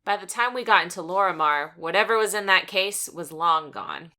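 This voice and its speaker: Cyborg agent, sharp bright voice, lively pitch swings, flippant, brisk, audio drama. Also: female voice. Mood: businesslike.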